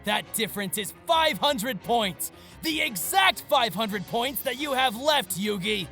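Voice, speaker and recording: An actor playing an arrogant, gloating young male card-game villain in an anime-style audio drama, theatrical and taunting, swinging from sly murmurs to shouts. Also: very high voice, animated, unhurried, faint music behind, heavily acted. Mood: smug, taunting.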